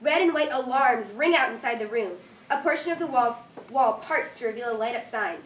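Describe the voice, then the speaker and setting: A woman's voice: a little high, expressive, a little fast. A woman reading stage directions as scripted narration at a staged reading. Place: room with live reading. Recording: echoey room, distant mic, faint noise.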